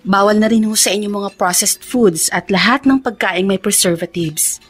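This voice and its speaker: An actress playing a gentle, devoted adult daughter with a slightly husky voice in a Filipino radio drama. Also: female voice, medium pitch, normal speed, soft background music, close to an everyday voice. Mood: caring. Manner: gentle, reassuring.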